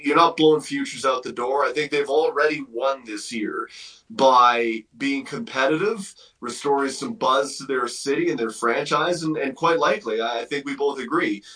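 A man's voice: mid-range voice, bright, forceful tone, far from the mic, rough recording. A man giving opinionated, explanatory sports analysis as a guest in an interview.

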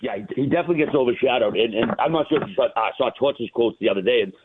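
A man's voice: mid-range voice, lively intonation, rapid-fire, slight background noise. A man hosting casual sports talk about hockey, rambling informally, heard over a tinny, narrow phone-like line.

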